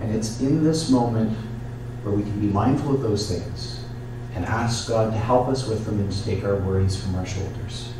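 A man speaking as a priest leading church worship, solemn and measured, in liturgy and prayer. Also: on the low side. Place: church, indoors. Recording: echoey church from far off, noisy. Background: noise, room ambience.

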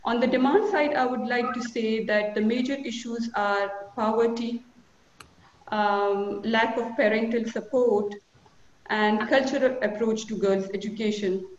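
A woman's voice: on the high side, steady tone, roomy sound, lo-fi sound. Pakistani woman presenting statistics on a video call. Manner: measured, fillers between figures. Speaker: conference panelist. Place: small office, indoors. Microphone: video call webcam.

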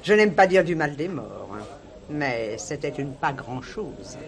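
Sharp-tongued wife with animated delivery. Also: female voice, deep voice, unhurried, creaky, exaggerated voice. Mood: disdainful. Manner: gossipy, disdainful, bossy with staff. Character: sharp-tongued, resentful merchant's wife, middle-aged. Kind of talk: French radio crime drama acting.

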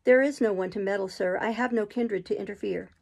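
A woman's voice: medium pitch, a little fast, gravelly, a little mellow. A woman voicing a proud, devoted young woman in love, earnest and emphatic in a storybook reading.

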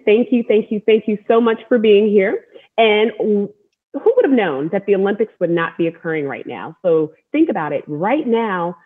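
A woman's voice: medium pitch, slightly rough. American woman hosting over narrow-band video call. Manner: encouraging, repeats words for emphasis. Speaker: host, storytelling athlete. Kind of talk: welcoming talk to an online group. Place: room at home. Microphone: wireless earbud on video call.

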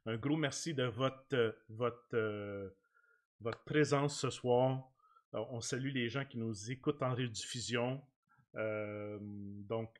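A man in his forties with a Québécois accent, chatting informally and effusively as the host of a sports livestream. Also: medium pitch. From Quebec, Canada. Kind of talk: casual livestream chat with guest and viewers. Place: small home studio room, indoors. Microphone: large desk microphone close up.

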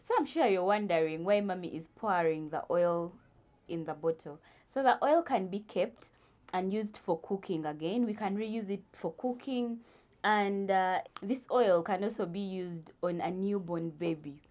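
A young woman casually explaining cooking for a vlog, deliberate and often repeating her phrases. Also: medium pitch, darkish tone. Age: young adult. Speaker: guest vlog presenter.

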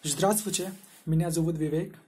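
A man in his twenties from India, not a native Russian speaker, introducing himself haltingly as a beginner in a room. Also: a little high, steady tone, darkish tone, breathy edge. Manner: halting, very slow beginner speech. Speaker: language learner. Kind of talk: simple self-introduction to camera. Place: indoor room.

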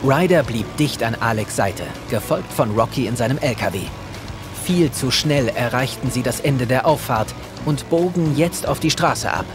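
A man's voice: medium pitch, expressive, normal speed, music in the background. A man narrating a children's audio drama as a scripted storyteller.

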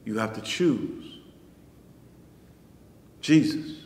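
A pastor in his forties preaching a sermon in a church sanctuary, measured and rising on key phrases, then dropping soft at the ends of lines. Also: on the low side, expressive. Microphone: stand mic in front, camera at a distance. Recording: echoey church hall.

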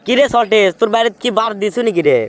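A man's voice: sits high, rapid-fire, background noise, natural voice. A man voicing a pushy, crude young friend with a Bogura Bangladeshi accent, brash and taunting in a comic cartoon.

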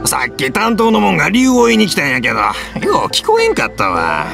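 A man's voice: high-pitched, huge pitch swings, fast talker, creaky, heavily acted. An actor playing a cheerful, hard-of-hearing old fireworks-maker father with a Kansai accent, folksy and fond of storytelling. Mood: jovial. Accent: Kansai Japanese. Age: older. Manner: folksy, talks past others, storytelling. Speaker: shopkeeper. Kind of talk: game voice acting. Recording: game audio with music behind.

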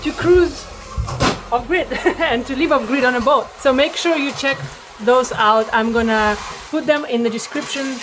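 A woman's voice: sits high, measured pace, background noise. A young woman co-hosting a sailing vlog, chatting casually and often laughing while out on a catamaran at sea. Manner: giggly, chatty.

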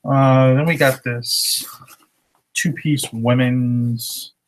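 Flat-voiced man talking through a haul. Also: mid-range voice, drawn-out words. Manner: relaxed, matter-of-fact item rundown. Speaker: reseller showing thrift finds. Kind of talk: casual haul vlog. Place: living room at home. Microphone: webcam at desk.

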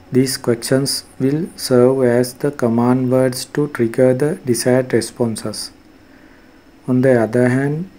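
A man reading scripted tutorial narration in a formal, measured, instructional way. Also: medium pitch, mellow, poor audio. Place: voice-over for a screen recording.

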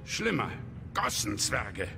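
A man's voice: mid-range voice, huge pitch swings, slow pace, creaky, music in the background, heavily acted. A man playing a stubborn, vengeful old dwarf warrior who grumbles indignantly. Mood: grumbling, bitter.

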